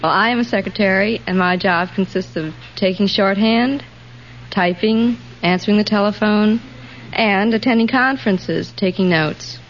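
A woman's voice: medium pitch, normal speed. An American woman of twenty-one from Wisconsin, answering a quiz host's questions as a contestant. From Wisconsin, USA. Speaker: contestant, secretary. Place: radio studio with audience. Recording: muffled old radio recording, studio audience noise. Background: faint audience and noise.

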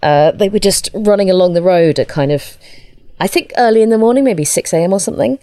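A woman's voice: mid-range voice, animated, fast, mellow, no room sound. A woman chatting informally as an interview guest, with a self-deprecating way of talking. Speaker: writer and illustrator, interview guest. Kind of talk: casual interview chat.

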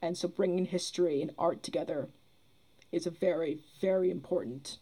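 A woman's voice: fairly low pitch, normal speed, warm tone, husky, rough recording. A young woman in a casual discussion, earnest and rambling, repeating phrases as she builds her argument. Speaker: podcast guest, theatre producer.

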